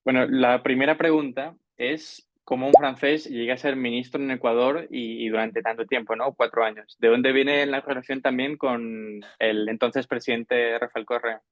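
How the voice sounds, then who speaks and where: Male interview host, medium pitch, dry and slightly muffled lo-fi sound. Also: steady tone, normal speed, few pauses, no room sound. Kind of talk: interview introduction and questions.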